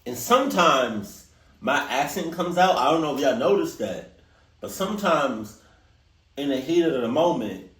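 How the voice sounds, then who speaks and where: Man, Maryland accent, reaction host, casual, animated, roomy echo, off-mic. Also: fairly high pitch, a little slow, recorded from a distance. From Maryland, USA. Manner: animated, laughing, talks straight to viewers.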